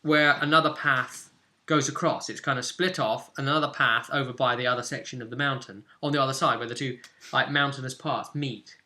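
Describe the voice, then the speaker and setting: A man's voice: medium pitch, fairly even intonation, fairly quick, bright, forceful tone. A man hosting as game master, casually explaining a tabletop role-play session in loosely phrased speech with asides.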